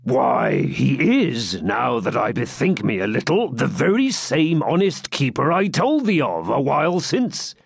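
A man voicing a boisterous, blustering friar in an audiobook, with booming, drawn-out archaic speech. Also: big pitch swings, creaky, husky, no echo, studio quality, heavily acted. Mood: blustering, evasive. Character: boisterous, blustering hermit friar, pious fraud and brawler. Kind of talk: audiobook character voice.

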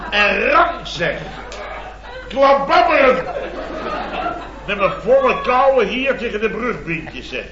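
An actor playing a blustering, exasperated boss of a building firm in a radio comedy, indignant, sputtering and repeating himself. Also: male voice, high voice, very animated, slow, long pauses, exaggerated voice. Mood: indignant boasting. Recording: dull old radio recording, roomy, studio audience.

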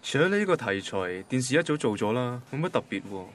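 A man's voice: medium pitch, very animated, quick pace, a little hiss or hum, close to an everyday voice. An actor playing an ordinary, literal-minded young male banker with a Hong Kong Cantonese accent, in a radio drama. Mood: defensive. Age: young adult. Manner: matter-of-fact, pedantic.

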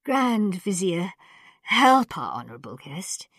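A woman voicing a little old male emperor in a storybook, thin and high-pitched, querulous yet regal. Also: sing-song, takes it slowly, a bit bright, breathy edge, no echo, clean studio sound, exaggerated voice. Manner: imperious, querulous, yelping with pain.

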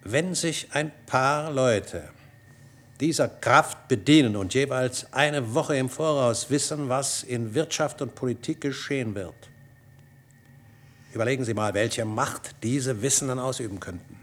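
A man's voice: mid-range voice, a little slow, natural voice. An actor playing a scheming, persuasive male doctor in a science fiction radio play. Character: shrewd, scheming male doctor tempting a colleague.

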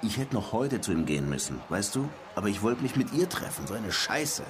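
An actor playing a brusque, world-weary, sardonic Havana police lieutenant in a radio crime play, terse and colloquial. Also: male voice, on the low side, sing-song, a little fast, a crowd in the background. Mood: frustrated, bitter. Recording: music behind the voice.

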